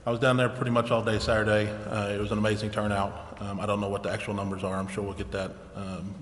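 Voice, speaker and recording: A man chairs a public meeting with informal remarks. He speaks quickly in a fairly low, even voice. The chamber is echoey, with clear noise behind him. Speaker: city council meeting chair. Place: indoor city council chamber. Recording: echoey, noisy council chamber.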